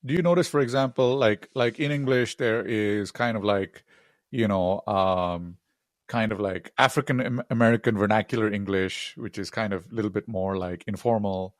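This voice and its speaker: Man, podcast host, low voice, dry close sound, rambling with fillers. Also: normal speed, dry recording. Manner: rambling questions full of "like". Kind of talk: casual interview.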